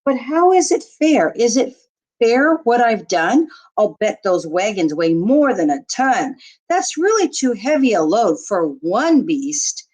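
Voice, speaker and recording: Female teacher-type storyteller, unhurried, expressive, widely varied pitch, for rhyming picture books. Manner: expressive, deliberate storytelling with short asides to listeners. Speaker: teacher reading aloud to children. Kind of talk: children's picture book read-aloud in rhyme.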